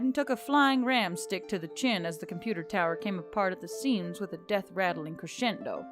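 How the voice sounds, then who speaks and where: A woman reading scripted fiction as a narrator, telling a story in prose. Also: medium pitch. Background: background music.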